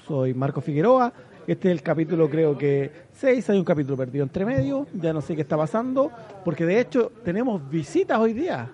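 A man's voice: a little high, sing-song, fairly quick, mellow. A man with a Chilean accent hosting a podcast in a casual, chatty way. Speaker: podcast host. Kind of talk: casual chat.

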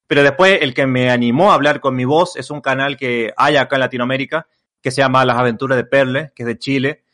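A man's voice: medium pitch, fairly even intonation, fast talker, a bit bright. Young Argentine guy, creator being interviewed, loose rambling delivery full of fillers. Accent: Argentine Spanish. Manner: rambling, many fillers and restarts. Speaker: content creator guest. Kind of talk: casual interview chat.

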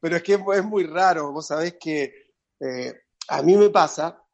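A man's voice: on the high side, measured pace, vocal fry, muffled recording. A man with an Argentine accent, a psychologist guest talking on a radio show in a thoughtful, chatty way. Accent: Argentine Spanish. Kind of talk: radio interview, phoned in.

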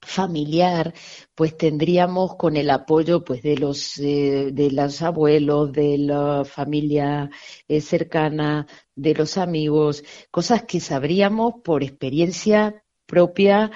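A woman from Argentina with a mixed Argentine and southern Spanish accent, answering as a pediatrician guest in a casual interview, halting and rephrasing as she goes. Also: low-pitched, steady tone, dark tone, no echo. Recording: slightly dull, lo-fi audio.

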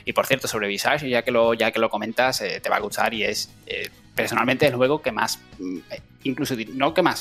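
A man's voice: medium pitch, a bit bright. A man co-hosting a gaming podcast, speaking thoughtfully with frequent hesitations. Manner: hesitant, many restarts, thoughtful. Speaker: podcast co-host. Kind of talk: casual chat.

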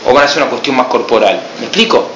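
Sacerdote argentino, sala con eco. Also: male voice, mid-range voice, lively intonation, racing, fairly bright tone, reverberant, lo-fi sound, a little hiss or hum. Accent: Argentine Spanish, Buenos Aires. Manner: emphatic rhetorical questions answered with a firm no. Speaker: missionary priest. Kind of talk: informal talk to a small group.

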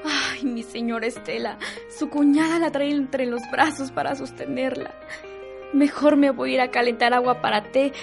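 A woman's voice: high voice, fairly even intonation, moderate pace, gravelly, soft background music. A woman with a Mexican accent playing a pious, superstitious, fearful housemaid in a melodramatic radio soap opera. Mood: worried gossip.

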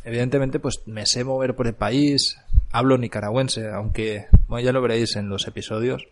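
A man in his thirties from Barcelona, hosting a podcast and reflecting on his life abroad in an unhurried monologue full of fillers. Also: mid-range voice, steady tone. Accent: Barcelona Spanish. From Catalonia, Spain. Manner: reflective, frequent fillers and restarts. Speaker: podcast host. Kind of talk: personal storytelling monologue. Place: Granada, Nicaragua.

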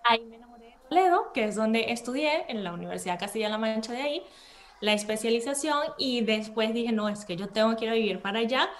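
A woman with a Venezuelan Spanish accent chats as an interview guest, informal and expressive, often checking for agreement. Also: fairly high pitch, fast. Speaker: podcast guest, intellectual property lawyer. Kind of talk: casual interview chat.

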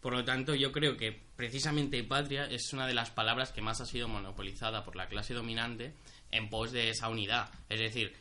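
A man's voice: mid-range voice, steady tone, a little fast, hardly any pauses, bright, forceful tone. A young man from Spain in a casual group discussion, speaking articulately with frequent fillers.

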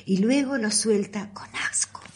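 A woman's voice: mid-range voice, measured pace, darkish tone. A woman narrating an amateur radio play from a script. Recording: audible background noise.

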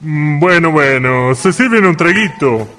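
A man's voice: a little high, lively intonation, a little slow, long reverb tail, background noise. An actor in a community radio drama playing a proud, hospitable father with a Chilean accent, telling stories to his guests in a folksy manner. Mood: genial. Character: proud father of Dalila, genial small-town host.

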